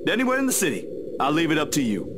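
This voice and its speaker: A man's voice: fairly high pitch, wildly swooping pitch, racing, background noise. A man playing an easygoing, caring young man in a visual novel game. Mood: casual, inviting.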